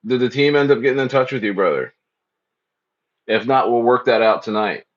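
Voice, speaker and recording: A man's voice: medium pitch, brisk. A man hosts a crypto livestream in easy, rambling chat. He says lines twice and answers the chat as he reads it. His voice sits mid-range and comes through dull, lo-fi stream audio. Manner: loose and repetitive, echoes phrases, reads chat aloud.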